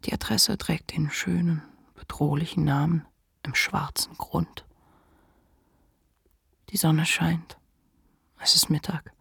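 An actress playing the inner voice of a depressed writer, weary and unflinching, in a measured literary monologue for a radio play. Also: female voice, sits low, steady tone, creaky, husky, dry recording, in a whisper, clean studio sound, exaggerated voice. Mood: hushed reflection.

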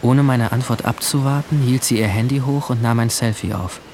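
A man's voice: mid-range voice, a little mellow, a little hiss or hum, natural voice. An actor playing an anxious, guilt-ridden seventeen-year-old boy, narrating his story introspectively in an audio drama. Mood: subdued. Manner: introspective, halting in dialogue. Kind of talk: first-person narration in a German audio drama. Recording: music under the voice.

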